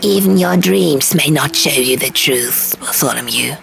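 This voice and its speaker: A woman puts on a pompous stone gargoyle for an audiobook story. The speech is formal, wordy and prim, swinging widely in pitch over a gravelly creak. Music plays clearly behind it. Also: expressive, a little slow, full character voice. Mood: frank, pensive. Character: stone gargoyle, sleepy, fussy, pompous and literal-minded. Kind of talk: audiobook character voice.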